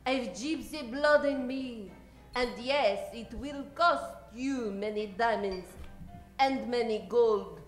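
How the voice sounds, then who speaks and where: A woman's voice: fairly high pitch, takes it slowly. A woman playing a mysterious, cautioning, mercenary adventuress in an old-time radio comedy. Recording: echoey stage, faint music.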